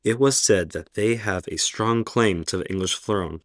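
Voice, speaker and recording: A man playing an elderly, kindly Oxford professor who speaks in a calm, measured, reassuring way in a radio play. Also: lowish voice, dry recording, clean studio sound, close to an everyday voice. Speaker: professor character.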